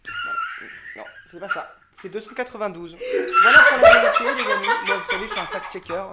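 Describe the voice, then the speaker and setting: A deadpan, blasé man co-hosting a podcast, his pitch still swinging widely as he talks. Loud noise sits constantly under his voice. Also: fairly quick. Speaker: podcast co-host. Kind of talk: casual chat.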